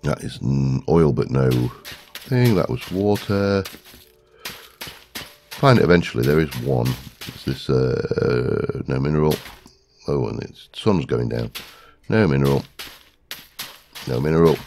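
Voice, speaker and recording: A man's voice: a bass voice, lively intonation, unhurried, pauses often, darkish tone, slight background noise. A man with a stuffy head cold, hosting a gaming video with casual, rambling commentary and lots of ums. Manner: rambling, talks to himself while searching, many ums.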